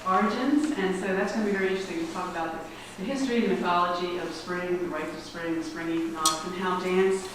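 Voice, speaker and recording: Lower-voiced woman introducing a speaker. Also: quick pace, few pauses. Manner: reads a biography with hesitations and fillers. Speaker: society director introducing the speaker. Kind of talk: formal introduction. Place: meeting room before an audience. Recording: echoey room, mic far off, background hiss.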